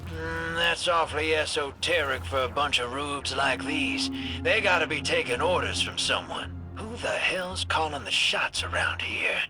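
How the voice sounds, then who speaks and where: A man's voice: fairly high pitch, a little slow, music in the background, natural voice. An actor voicing a cocky, wisecracking male space smuggler with a sardonic, drawling, slangy delivery in a video game.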